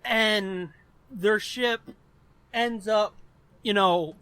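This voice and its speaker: High-pitched, bright-voiced man chatting bluntly. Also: extremely slow, clean tone, dry recording. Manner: profane, blunt, animated explaining. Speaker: podcast host. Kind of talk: casual chat.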